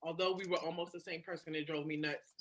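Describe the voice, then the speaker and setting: A woman talking candidly and casually about herself, expressive and emphatic, with frequent swearing. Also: low voice, fast, slight background noise. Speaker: podcast guest or co-host. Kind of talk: candid casual personal storytelling.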